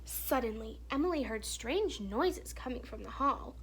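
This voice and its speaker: Woman, high voice, children's picture-book narration, expressive, hushed dramatic phrasing. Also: a little slow, a little hiss or hum, rough recording. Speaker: teacher or reader reading a children's picture book aloud. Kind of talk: expressive storybook read-aloud narration.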